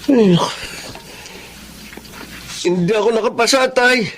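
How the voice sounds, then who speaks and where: An actor playing an earnest young aspiring teacher in a Filipino radio drama, polite and pleading. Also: male voice, extremely high pitch, a little slow, a bit bright, slight background noise. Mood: dejected, pleading. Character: earnest young aspiring teacher, dejected son, apologetic tutor.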